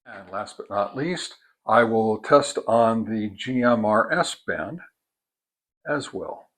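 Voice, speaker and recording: A man giving an informal product test and demonstration, explaining methodically in a halting way. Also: lowish voice, animated, fairly bright tone. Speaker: product reviewer, host.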